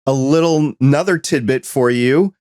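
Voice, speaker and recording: A middle-aged man with a Midwestern American accent hosting a podcast, friendly and animated as he tells stories. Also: medium pitch, normal speed, smooth, no echo, studio quality. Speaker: podcast host. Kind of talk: casual conversation.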